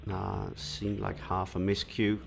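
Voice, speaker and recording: Deep gravelly Danish pool commentator. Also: male voice, measured pace, background noise. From Denmark. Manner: relaxed, explanatory, frequent "uh" fillers. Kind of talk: live pool commentary, reading viewer comments.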